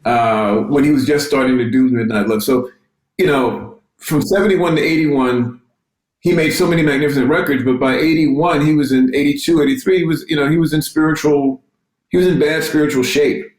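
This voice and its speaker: A man speaking as a panelist and music journalist, reflective and halting, often restarting his sentences. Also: a little high, echoey room, poor audio. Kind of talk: panel discussion.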